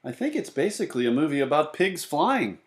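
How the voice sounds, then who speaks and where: A man's voice: a little high, sing-song, a little fast, voice pushed up. A man voicing a cheerful, chirpy married woman in a children's storybook.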